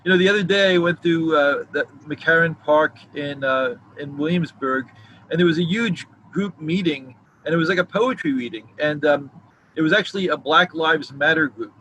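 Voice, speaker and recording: A man's voice: a little high, moderate pace, pushed and bright, a little hiss or hum, poor audio. Reflective, rambling man in his sixties. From New York City. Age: 60s. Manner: rambling, reflective, many fillers and restarts. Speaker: novelist, guest. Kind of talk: casual video interview.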